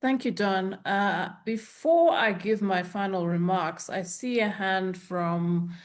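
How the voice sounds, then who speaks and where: A woman's voice: mid-range voice, takes it slowly. A woman from Zambia moderating and closing a press conference, composed and courteous.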